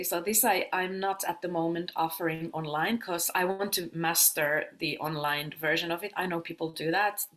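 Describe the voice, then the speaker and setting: A woman from Finland, likely in her thirties, a yoga teacher and sound healer answering interview questions casually, laughing often and pausing with fillers. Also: lowish voice, fairly bright tone.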